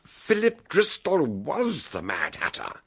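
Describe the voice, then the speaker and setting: An actor playing a shrewd, commanding older British gentleman detective in a radio drama, booming and declamatory. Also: wildly swooping pitch, slow pace, creaky, husky, heavily acted. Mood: explaining, excited.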